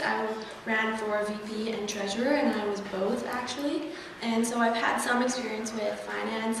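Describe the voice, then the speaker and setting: A young woman from Russia whose first language is Russian, giving an earnest campaign speech as a student candidate, laughing nervously now and then. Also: a little high. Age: young adult. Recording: echoey hall, distant mic, noisy.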